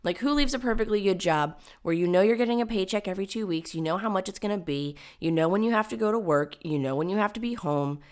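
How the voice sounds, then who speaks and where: A woman hosting her own podcast, talking alone in a low, raspy voice, emphatic and a little high-strung. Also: animated, fast, few pauses, darkish tone. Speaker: podcast host. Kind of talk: solo podcast monologue.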